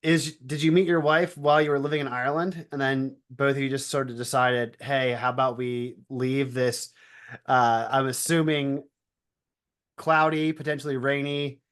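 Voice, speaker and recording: A man of middling pitch hosts an interview podcast. He asks questions in an easygoing, folksy way. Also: fairly even intonation, moderate pace, fairly bright tone, clean tone. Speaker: podcast host. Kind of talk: interview hosting.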